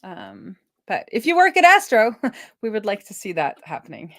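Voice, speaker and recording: A woman's voice: mid-range voice, huge pitch swings, normal speed, a bit bright, no echo, studio quality. A woman doing casual live coding commentary as a streaming developer, thinking aloud as she goes.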